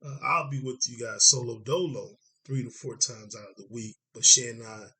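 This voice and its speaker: A man from Dallas, Texas hosting a podcast, hoarse from congestion, talking reflectively with frequent pauses. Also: medium pitch, pushed and bright. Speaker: podcast host. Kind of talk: casual conversation.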